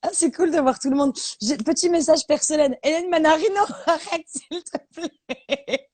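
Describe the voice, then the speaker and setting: A woman's voice: high-pitched, moderate pace, a little mellow. A woman in her thirties hosting a casual live stream from her phone, playful and teasing, often laughing.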